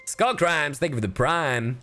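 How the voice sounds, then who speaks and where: A man's voice: on the high side, animated, unhurried, loud music behind the voice. A man doing casual, playful and goofy livestream commentary on a game. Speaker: streamer and voice actor.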